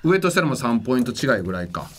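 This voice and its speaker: A man's voice: medium pitch, big pitch swings, racing, a crowd in the background. A man with a Kansai accent co-hosting a radio show with blunt, joking critique and banter. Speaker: radio show co-host and judge. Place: small radio studio. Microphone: studio microphone.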